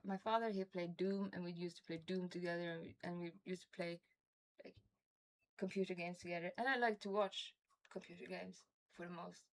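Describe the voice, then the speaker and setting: A woman from Norway with a Norwegian accent, chatting casually on a game stream with a toothache, rambling and laughing often. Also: fairly low pitch. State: has a toothache.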